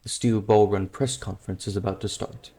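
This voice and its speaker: Man playing a gravelly, formal official. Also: lowish voice, steady tone, fairly quick, warm tone, natural voice. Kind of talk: comic-book dub voice acting.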